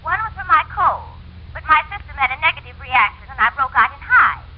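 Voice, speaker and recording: A woman's voice: high voice, lively intonation, fairly quick. An actress playing a genteel, chatty woman in an old-time radio family sitcom. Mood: cheerful, chatty. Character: genteel, animated woman in domestic family comedy. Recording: muffled, faintly noisy old radio recording.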